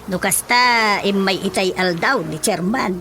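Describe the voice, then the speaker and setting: A woman's voice: medium pitch, unhurried, lightly acted. A woman acting in an Ilocano radio drama as an evasive village councilwoman, hesitant and hedging. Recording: faint music behind the voice. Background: light background music.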